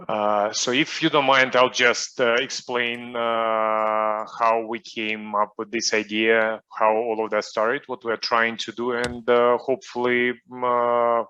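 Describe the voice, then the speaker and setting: A man's voice: mid-range voice, flat delivery, a little slow, few pauses, pushed and bright, clean tone, muffled recording. A middle-aged man with a Russian accent, a soccer coach speaking in an online interview, hesitant with many fillers and restarts.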